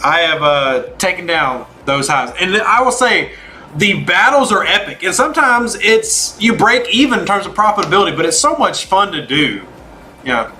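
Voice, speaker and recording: A man's voice: high-pitched, big pitch swings, moderate pace, sharp tone, a little hiss or hum. A man hosting a game livestream, chatting casually and informally as he answers viewers by name.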